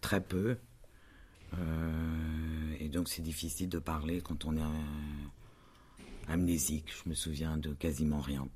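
A man giving spontaneous personal testimony in a halting, hesitant way. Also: rumbling low, flat delivery, darkish tone, a little hiss or hum.

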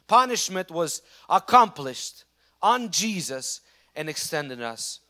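A man with a Russian accent preaching a sermon as a pastor, telling stories with lively restarts. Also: fairly high pitch, slow. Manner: animated storytelling preacher with frequent restarts.

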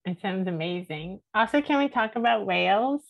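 A woman's voice: mid-range voice, expressive, normal speed, gravelly, dry recording, highs cut off. A woman hosting a casual, chatty conversation in an informal, enthusiastic way. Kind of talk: casual chat.

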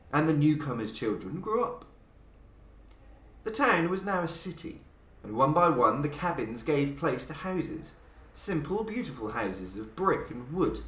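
A man reads an old tale aloud with measured, dramatic pacing. His pitch sits a little high and rises and falls widely from phrase to phrase. The room around him gives the voice a clear echo. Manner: measured, dramatic delivery with lively pitch swings. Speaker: podcast host and reader. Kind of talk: scripted storytelling narration.

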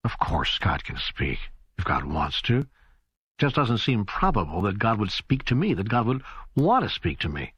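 A man's voice: fairly low pitch, big pitch swings, normal speed, fairly bright tone, slightly rough, dry recording, highs cut off, heavily acted. A man playing an earnest, doubting seeker in an audiobook dialogue, speaking in a hesitant, searching way. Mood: skeptical, earnest. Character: earnest, doubting male seeker questioning God.

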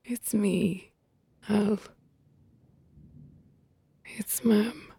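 A woman's voice: medium pitch, fairly even intonation, very slow, creaky, dark tone, husky, no echo, full character voice. A woman playing a protective Irish friend in an audio drama, coaxing and colloquial in manner. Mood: tender, remorseful. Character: girl's protective female friend, secretly her mother.

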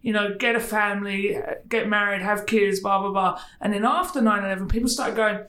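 A middle-aged man with a high-pitched voice chats as host, rambling through his thoughts. His voice echoes around the room. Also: steady tone, a little fast, bright, forceful tone. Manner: rambling, chatty, many fillers. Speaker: podcast host. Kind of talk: casual interview chat.

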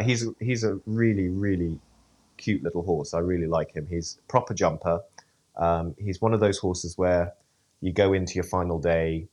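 Deep-voiced male interviewee, halting and thoughtful. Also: a little mellow. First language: English. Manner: hesitant, many fillers and restarts. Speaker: event rider, interview guest. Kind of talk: podcast interview.

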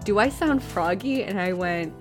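A woman's voice: medium pitch, animated, moderate pace, faint music behind. A woman co-hosting a podcast, dry and teasing in casual chat, often laughing. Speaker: podcast co-host.

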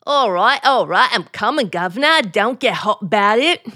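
A woman's voice: a little high, expressive, normal speed, bright, forceful tone. A woman playing an impatient, cheeky maid with a Cockney accent in 1940s radio comedy style.

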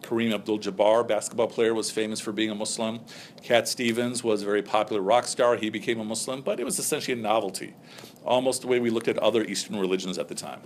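Man in his forties, low-pitched, lecturing, faint room noise. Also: fast talker. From Pakistan, raised near Chicago. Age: 40s. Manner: explanatory, checks in with "okay?" and "right?". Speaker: speaker on Islam. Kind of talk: lecture to undergraduates.

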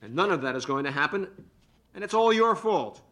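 A man's voice: fairly high pitch, sing-song, a little fast, fairly bright tone. A man reading the part of a bossy, blustering husband with a ticking clock for a heart in a staged play reading. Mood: accusing. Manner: blustering, domineering, ranting.

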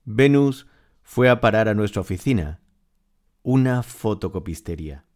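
A man's voice: lowish voice, lively intonation, moderate pace, darkish tone, lightly acted. A man playing a smitten, wistful narrator reading scripted literary audio fiction. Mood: wry. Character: smitten, wistful male narrator, devoted to his beloved.

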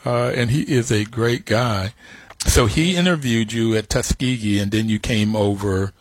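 A man's voice: lowish voice, normal speed, no room sound. A man hosting a radio interview, asking curious, conversational questions. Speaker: radio host.

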